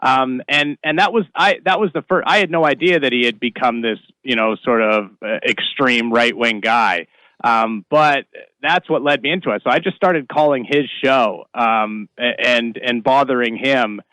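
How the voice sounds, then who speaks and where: A man's voice: medium pitch, moderate pace, pushed and bright, no echo, low-quality recording. A man from Canada, a comedian, telling stories casually as an interview guest. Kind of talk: casual storytelling chat.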